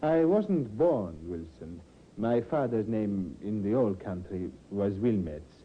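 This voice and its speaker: An actor playing a smooth-talking male tavern keeper under suspicion in a vintage radio drama, polite, evasive and defensive. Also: lowish voice, lively intonation, takes it slowly. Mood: politely guarded. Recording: muffled, hissy 1950s radio recording.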